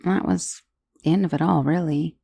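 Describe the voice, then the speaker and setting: A woman's voice: sits low, fairly even intonation, normal speed, vocal fry, mellow, breathy edge, no echo, full character voice. A woman playing an undead dullahan's severed head in an ASMR roleplay, conversational and dryly sardonic, menacing yet wistful, with sighs and hesitations. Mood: rueful.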